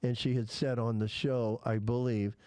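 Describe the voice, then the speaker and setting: A man's voice: medium pitch, flat delivery, moderate pace, warm tone, dry recording. A man who speaks with a lisp, hosting a casual podcast chat and rambling through stories with many restarts. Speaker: podcast host.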